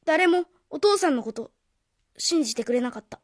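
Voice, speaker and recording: A woman voicing a troubled, hesitant schoolboy in a radio drama, speaking haltingly in short phrases. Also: sits high, animated, dry recording, studio quality. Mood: sad, confessing. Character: troubled, hesitant schoolboy protective of his father. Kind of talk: Japanese radio drama acting. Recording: faint music behind the voice.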